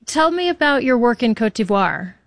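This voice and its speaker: A woman's voice: a little high, sing-song, moderate pace, dry recording, clean studio sound. A woman interviewing a guest, asking curious, probing questions. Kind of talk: conversational interview.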